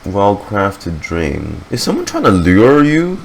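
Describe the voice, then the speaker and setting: A man's voice: lowish voice, huge pitch swings, takes it slowly, vocal fry. A man doing casual, wry reaction commentary over a video game with blunt asides. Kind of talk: casual commentary, reading on-screen text aloud.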